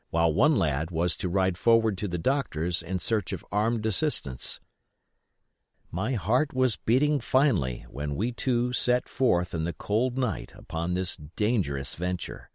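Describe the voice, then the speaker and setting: Male narrator, adventure novel. Fairly low pitch, highly varied delivery, dry recording. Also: a little mellow, dry recording. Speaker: audiobook reader. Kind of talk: expressive scripted narration of a classic adventure novel.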